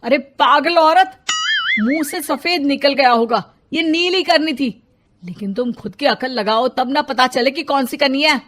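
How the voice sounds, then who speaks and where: A woman's voice: sits high, measured pace, a bit bright, soft background music. A woman voicing a husband in a storybook tale, an affectionate but short-tempered man. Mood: irritated, belittling.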